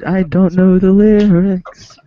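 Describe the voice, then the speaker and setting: A man's voice: high-pitched, level tone, slow pace, muffled recording. A man sillily improvising as a cast member of a readers' theater podcast. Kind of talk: silly improvised singing and chat.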